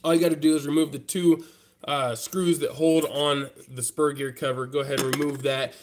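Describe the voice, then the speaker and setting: A man's voice: a little high, level tone, moderate pace. A man with a stuffy, congested voice from a head cold, chatting casually with live-stream viewers while working at a bench. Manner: laid-back, chatty, talks straight to viewers. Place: hobby workbench.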